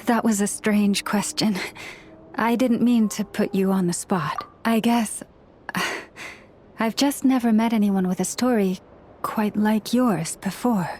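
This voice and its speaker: A woman playing a gentle, solemn priestess in a video game, speaking softly in formal, measured phrases over background music. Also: medium pitch, slight background noise, close to an everyday voice. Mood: hesitant, tender. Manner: formal, measured, soft-spoken. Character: gentle, solemn, courteous priestess of a moon order.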